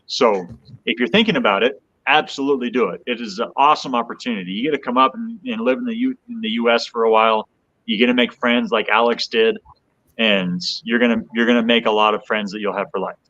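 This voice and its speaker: A man's voice: mid-range voice, normal speed, a bit bright, muffled recording. A man with an American accent explains things in a casual, conversational way as a podcast guest. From United States.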